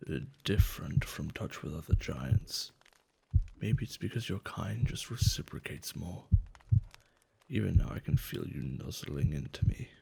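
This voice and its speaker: A man acting a gentle, caring giant in a soft-spoken, soothing and affectionate ASMR roleplay, with slightly formal phrasing. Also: full character voice. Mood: shy, affectionate. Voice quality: low, soft, gravelly. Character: gentle male giant, tender, curious and caring toward humans.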